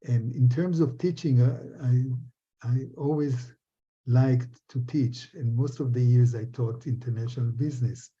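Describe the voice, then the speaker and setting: An older man from Israel answers interview questions reflectively. His voice is very dark and husky, and he speaks haltingly, circling back over his words. The recording sounds dull and lo-fi. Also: mid-range voice. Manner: halting, repeats words and restarts often. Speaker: interviewee, business professor.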